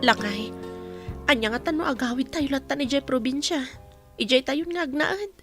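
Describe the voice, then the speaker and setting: Humble young mother, radio drama, highish voice, gentle, clear music underneath. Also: moderate pace. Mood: worried. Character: poor, patient young wife and mother, humble.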